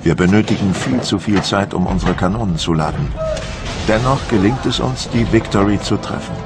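An actor playing a resolute, proud French admiral of Napoleon's navy in a documentary radio play, speaking in solemn, formal monologue. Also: male voice, lowish voice, moderate pace, creaky, loud music behind the voice. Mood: tense, weary. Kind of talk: dramatised first-person documentary monologue in German.

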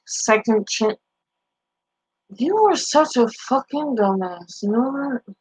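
A woman's voice: fairly high pitch, lively intonation, slow pace, a little mellow, slightly rough, no echo. A woman in her twenties with a Boston accent, trash-talking her chat on a live stream from a small bedroom through a headset mic. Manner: brash, profane trash talk. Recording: dull lo-fi stream audio.

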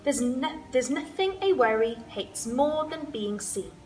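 A woman's voice: sits high, animated, unhurried, a little mellow, slight background noise. A woman voicing a kindly, firm old lady in a children's storybook, with a reassuring manner.

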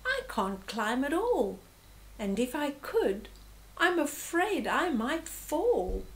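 Woman as a high-pitched, timid little warthog boy. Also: very animated, slow, heavily acted, rough recording. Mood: anxious, innocent. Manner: earnest, childlike, plaintive. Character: small, timid, naive young male warthog.

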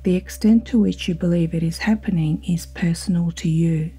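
A woman guiding a sleep hypnosis in a slow, soothing, soft-spoken manner with breathy phrase endings. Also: lowish voice, low-quality recording. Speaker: hypnosis guide. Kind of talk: guided sleep hypnosis narration. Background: ambient music with singing bowl tones.

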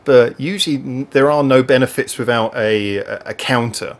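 A man's voice: medium pitch, moderate pace. A man in his thirties from England, hosting a casual podcast chat over a video call, rambling and restarting his sentences with frequent laughs. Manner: rambling, self-correcting, laughs often. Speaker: podcast host. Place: home room, indoors. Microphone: webcam on a video call.

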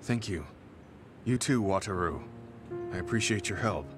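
A stern, grizzled soldier from a game cutscene, played by a man. He is middle-aged, earnest and blunt, with a lowish, steady voice. Faint music plays beneath him. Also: normal speed, lightly acted. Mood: serious. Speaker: game character.

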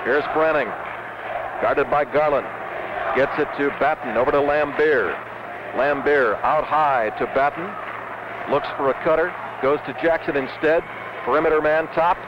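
High-pitched male basketball announcer, muffled broadcast. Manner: clipped, urgent phrases. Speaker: radio play-by-play announcer. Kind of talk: live basketball radio play-by-play. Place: basketball arena. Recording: muffled, noisy old radio broadcast.

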